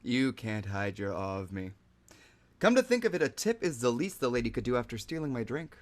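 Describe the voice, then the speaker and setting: An actor playing a cocky, easygoing magician who produces shows, in a conversational table read with a brash, teasing, persuasive way of talking. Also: male voice, mid-range voice, sing-song, a little fast, close to an everyday voice.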